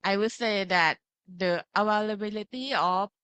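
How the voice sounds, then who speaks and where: A woman's voice: mid-range voice, normal speed, fairly bright tone, smooth, no echo. A woman from Thailand with a Thai accent, lecturing to students in simple phrases and often checking that they follow. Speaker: pharmacy lecturer. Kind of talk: interactive online lecture with slides.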